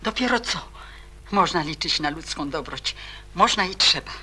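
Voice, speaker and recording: An excitable, motherly woman in a radio play. Her husky, bright voice swoops widely, with emphatic, effusive exclamations. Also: on the low side, normal speed, full character voice. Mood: overjoyed. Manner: exclamatory, repeats words emphatically. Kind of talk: Polish radio drama acting.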